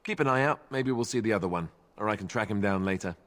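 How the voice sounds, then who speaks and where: A man plays a young knightly warrior in a scripted fantasy game, his voice a little on the low side. He sounds earnest and loyal, with a dry, sardonic edge and a habit of self-deprecation. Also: quick pace, fairly bright tone, close to an everyday voice. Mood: dry, wry.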